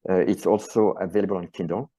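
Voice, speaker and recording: A man's voice: low-pitched, fairly even intonation, fast talker, mellow. A middle-aged man with a French accent, a chiropractor and author answering interview questions earnestly and passionately, often stacking phrases into lists.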